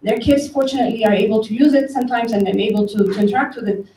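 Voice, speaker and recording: A woman's soft-edged, mellow voice comes from a little distance in a reverberant room. She is from Ethiopia and speaks as a presenter at an event, informally and conversationally. She tells anecdotes and turns to her listeners directly, over a slight background noise. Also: fairly high pitch, very fast. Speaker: conference speaker, tech company director. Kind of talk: informal public talk with storytelling. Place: event room, before an audience. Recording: roomy, distant mic, faint background noise.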